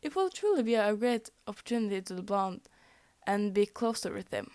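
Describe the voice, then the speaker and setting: A woman, a native Norwegian speaker with a Norwegian accent, reading a fan story aloud in English, haltingly, often tripping over words and rereading them. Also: mid-range voice, very animated, a little mellow, no echo. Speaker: fanfic reader.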